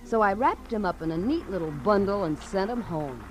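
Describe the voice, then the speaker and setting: An actress playing a defiant, evasive tough dame in 1940s radio noir, slangy and mockingly coy. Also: female voice, mid-range voice, lively intonation, normal speed, lightly acted, poor audio. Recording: old radio recording with music.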